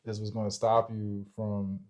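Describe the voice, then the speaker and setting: A man's voice: lowish voice, monotone, normal speed, darkish tone. A man co-hosting a relationship podcast, explaining casually and informally, often checking that the listener follows. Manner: informal, checks in with "right?" and "you know?".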